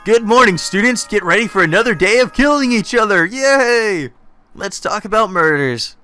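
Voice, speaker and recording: A man's voice: high voice, lively intonation, moderate pace, pushed and bright, smooth, pitched above the performer's own voice. A man voicing a gleeful, mocking mascot bear from a visual novel game, making mock-cheerful announcements.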